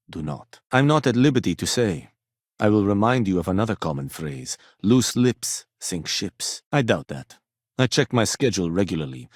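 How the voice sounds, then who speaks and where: Casting: punctilious corporate office man for a video game. Low register, formal precise diction, dry condescension, close dry sound. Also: no room sound, heavily acted. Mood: formal, guarded.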